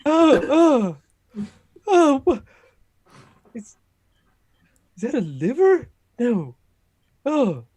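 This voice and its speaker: A man's voice: very high-pitched, very animated, very slow, long pauses, a little mellow, breathy edge, dry recording, full character voice. A man playing a nervous, squeamish and pedantic adventurer in a tabletop game, stammering and hesitating as he talks. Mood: queasy, disgusted. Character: nervous, squeamish, pedantic male adventurer who dreads tunnels.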